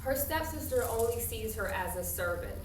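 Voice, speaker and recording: A woman teacher doing an interactive read-aloud for a young class, instructive and questioning, with expressive storybook reading. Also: on the high side, normal speed. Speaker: primary school teacher. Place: classroom with pupils. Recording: distant echoey classroom, some noise.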